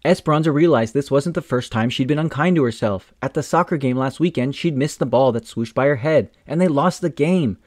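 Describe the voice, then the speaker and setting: A man reading a children's picture book aloud in a gentle, expressive storytelling manner. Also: medium pitch, a little fast, hardly any pauses, no echo, clean studio sound. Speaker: storytime reader.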